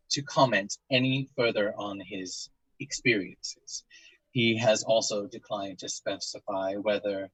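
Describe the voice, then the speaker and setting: A man acting as a formal case investigator in an audio drama. His husky, mid-pitched voice is recorded dry, and he speaks methodically, with careful pauses and clinical wording. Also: a bit bright, no echo, low-quality recording. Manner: clinical, measured, hesitant with pauses. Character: formal, methodical paranormal investigator, male, narrating case tapes. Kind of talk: audio drama, scripted case-file narration and interview.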